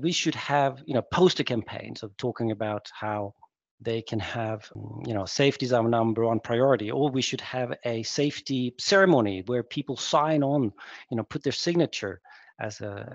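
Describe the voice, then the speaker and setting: A man from Sweden giving a webinar talk as guest speaker on a video call, hesitant and reflective with many pauses. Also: medium pitch, darkish tone, no room sound. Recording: dull lo-fi call audio.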